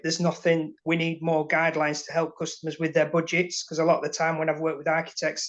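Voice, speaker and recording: A man, a builder, talking earnestly and rambling a bit about his frustrations on a webinar video call. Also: fairly high pitch. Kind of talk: casual chat on a webinar call. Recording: muffled video call audio.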